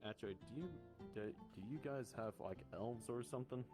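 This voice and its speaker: A man improvising in-character online roleplay as a guild host, speaking in halting, formal and courteous phrases. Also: medium pitch, mellow. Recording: background music behind the voice. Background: music.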